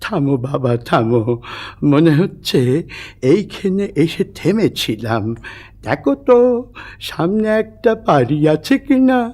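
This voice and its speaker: A man's voice: a little high, very animated, darkish tone, heavily acted. A man playing a talkative, dreamy old cobbler in a theatrical comic cartoon. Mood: folksy, weary. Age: older. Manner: rambling, drawn-out, dreamy storytelling. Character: old, talkative, opium-eating cobbler with failing eyes.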